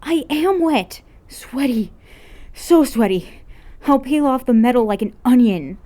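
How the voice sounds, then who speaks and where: A woman voicing a loud, dramatic teenage girl in a story reading, whining and exclaiming theatrically. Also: sits high, lively intonation, a little slow, voice pushed up. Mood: whiny, dramatic. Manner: exclamatory, pleading, theatrically exaggerated. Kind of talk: acted dialogue in fan fiction reading.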